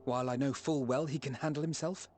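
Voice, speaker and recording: An actor playing an earnest, worried companion in a game, with a husky voice. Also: male voice, medium pitch, fairly even intonation, fast talker, darkish tone, faint music behind, natural voice.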